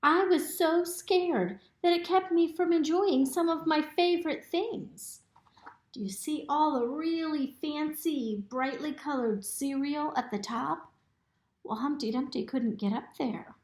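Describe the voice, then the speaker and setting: A woman reading a picture book aloud to young children in an expressive, instructive way, pausing to ask them simple questions. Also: sits high. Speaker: storybook reader. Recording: roomy, echoey indoor recording.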